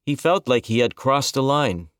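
A man voicing a calm, gentle middle-aged reverend who speaks softly and consolingly. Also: medium pitch, clean tone, dry recording, clean studio sound, voice pushed up, natural voice. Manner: soft-spoken, measured, consoling.

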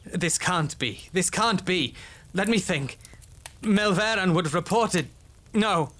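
A man's voice: medium pitch, animated, moderate pace, a bit bright, close to an everyday voice. A man playing an earnest, self-assured army lieutenant with a formal, ironic turn in a fantasy story. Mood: startled, insistent. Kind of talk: dramatised fantasy story dialogue.

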